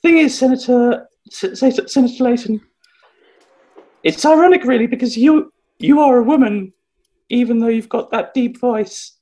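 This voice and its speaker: A man voicing a prim, indignant senator with a high voice in an improvised comedy scene. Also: moderate pace, warm tone, low-quality recording. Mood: prim indignation.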